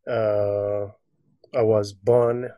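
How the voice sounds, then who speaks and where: A man's voice: lowish voice, level tone, no echo, lo-fi sound. Hesitant man, dry sound. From Uganda. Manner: hesitant, many pauses and "uh"s. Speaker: podcast guest. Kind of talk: casual conversation.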